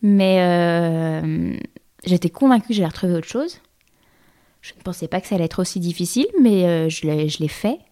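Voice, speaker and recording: A woman's voice: fairly low pitch, moderate pace, mellow. A woman in her thirties, a guest in an interview, telling her story in a hesitant way with many fillers and restarts. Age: 30s. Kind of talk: conversational interview.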